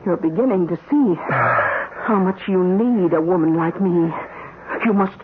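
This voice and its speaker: Shrewd housekeeper on muffled radio. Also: female voice, fairly low pitch, expressive, a little slow, slight background noise. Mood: stern, controlling. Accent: American. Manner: firm, commanding, self-possessed. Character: shrewd, controlling housekeeper, composed and calculating. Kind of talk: radio drama acting. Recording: muffled narrow-band radio, faint music.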